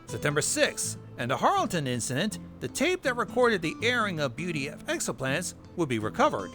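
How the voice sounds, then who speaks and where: A man's voice: fairly high pitch, huge pitch swings, a little fast. A man hosting a narrated recap, with wry asides and casual swearing. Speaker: video host. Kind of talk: narrated recap of horror web series. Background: music bed.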